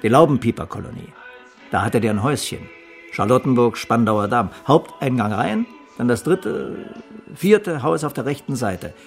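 Radio drama: blunt, forgetful middle-aged man, wry and swinging in pitch, gravelly edge. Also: animated, normal speed, soft background music. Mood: wry, reminiscing. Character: gruff, sardonic, forgetful former escape helper. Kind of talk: naturalistic radio play acting.

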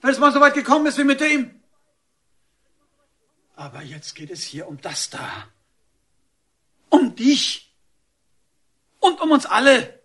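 A man's voice: very high-pitched, sing-song, measured pace, long pauses, full character voice. An actor playing an enraged, domineering father in a radio drama, hectoring and accusing. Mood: bitter, accusing. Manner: accusing, hectoring, shouting outbursts. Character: enraged, domineering father, rigid provincial moralist. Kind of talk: German radio drama acting.

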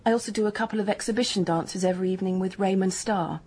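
An actress playing a young, guarded, matter-of-fact English dance hostess in a radio drama, speaking in a brisk, frank, colloquial way. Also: female voice, medium pitch, darkish tone, husky. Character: hard-headed working-class hotel dance hostess, guarded, matter-of-fact.

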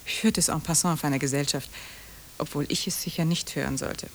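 A woman's voice: low-pitched, steady tone, a little fast. An actress playing an elegant, discreet society lady in a German radio play, poised, refined and formal. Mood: discreet, warning. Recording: hissy vintage radio drama recording.

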